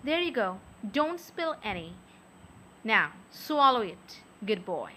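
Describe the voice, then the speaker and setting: Slow, soft-spoken high-voiced woman. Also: big pitch swings, a little hiss or hum. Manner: soft, slow, teasing and coaxing. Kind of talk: intimate audio roleplay.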